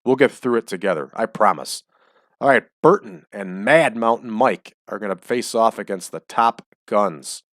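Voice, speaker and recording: A man's voice: fairly low pitch, a bit bright, no echo. A man hosting a casual game playthrough, chatty and explaining his choices as he goes, his voice a little off and dry from the air in his home. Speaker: let's-play host. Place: small home room.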